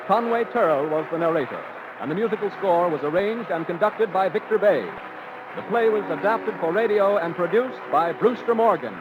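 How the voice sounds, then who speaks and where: A man's voice: high voice, lively intonation, moderate pace. A man announcing formally in a projected 1930s radio style. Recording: muffled noisy old radio broadcast.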